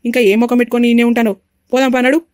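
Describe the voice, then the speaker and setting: A woman's voice: on the high side, flat delivery, rapid-fire, a little mellow, dry recording, studio quality, close to an everyday voice. A woman playing a scornful, quarrelsome village mother in a family drama, scolding with a Telangana Telugu accent. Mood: scornful anger. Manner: scolding, insult-laden.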